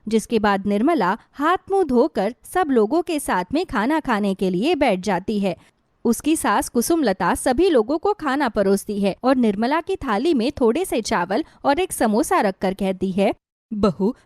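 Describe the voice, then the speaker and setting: A woman narrates a scripted bedtime story for children. Her voice sits fairly high. The recording is dry, with no audible room. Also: lively intonation, moderate pace, darkish tone, dry recording.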